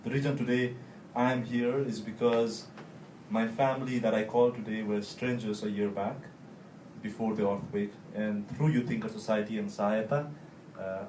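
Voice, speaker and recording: A man from Nepal with a Nepali accent, speaking personally to an audience in halting, deliberate phrases. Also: fairly low pitch, steady tone, darkish tone. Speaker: guest speaker, volunteer. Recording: echoey room, distant mic, clear noise.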